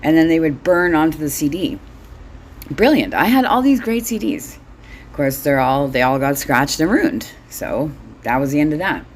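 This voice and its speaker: Low-voiced middle-aged woman, blunt and wry. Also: expressive, normal speed. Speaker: solo host. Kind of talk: casual comedic monologue.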